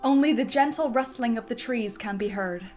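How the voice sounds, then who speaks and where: A woman's voice: on the high side, normal speed, over music. A woman narrating a film script theatrically, with a comedic dramatic flair. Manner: theatrical, breaks easily into giggles.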